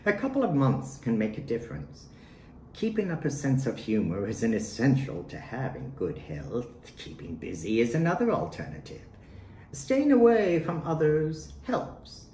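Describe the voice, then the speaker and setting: A man reading his own poems aloud in deliberate, short declarative phrases with pauses between them. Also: mid-range voice, sing-song, a little mellow. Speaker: poet reading own work. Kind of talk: spoken poetry reading. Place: at home in a residence. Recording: roomy home recording with faint noise.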